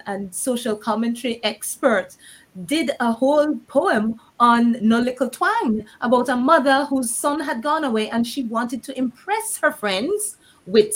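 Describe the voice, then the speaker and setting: Measured woman hosting an online panel. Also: a little high, rough recording. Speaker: host. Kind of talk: moderating an online discussion.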